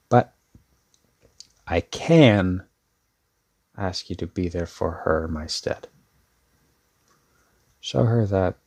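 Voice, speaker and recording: Guy playing a protective brother in an asmr roleplay, deep creaky voice, sincere n kinda halting, takes his time. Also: exaggerated voice. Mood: earnest, pleading. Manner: hesitant, earnest, with trailing pauses. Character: protective older brother, a laid-back but sincere young man. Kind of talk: ASMR audio roleplay, intimate one-sided dialogue.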